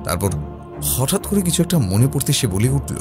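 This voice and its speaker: A man's voice: medium pitch, big pitch swings, normal speed, music in the background. A man reading a scripted first-person detective story as its narrator.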